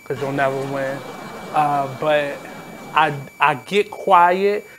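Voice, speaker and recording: A man in his thirties from Baltimore with a naturally high voice, hosting his radio show in a candid, blunt, reflective monologue. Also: slow pace, a little hiss or hum. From Baltimore, USA. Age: 30s. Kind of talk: casual monologue.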